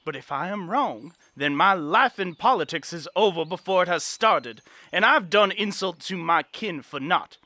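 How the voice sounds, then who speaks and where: An actor playing an earnest young political advisor in a fantasy audio drama, speaking formally and with deference. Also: male voice, sits high, expressive, sharp tone, no room sound. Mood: indignant, wounded. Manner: formal, measured, deferential archaic phrasing.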